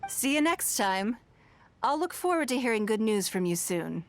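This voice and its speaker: A woman voicing a composed, shrewd, wealthy business lady in a video game, speaking in a measured, elegant, formal way. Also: a little high, very animated. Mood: gracious, gently teasing. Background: background music.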